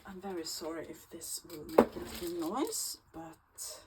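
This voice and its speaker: A woman's voice: fairly low pitch, expressive, measured pace, heavy background noise. A Swedish woman with a Swedish accent, her native tongue Swedish, hosting a casual craft tutorial and chatting with hesitant fillers as she works. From Sweden. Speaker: crafting channel host.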